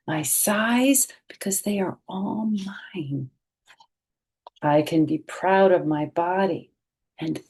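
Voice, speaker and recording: Female storytime host, middle-aged, somewhat husky, fairly low-key pitch movement, gentle read-aloud with friendly explanations for kids. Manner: gentle, expressive, addressing young listeners directly. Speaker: storytime host reading a picture book.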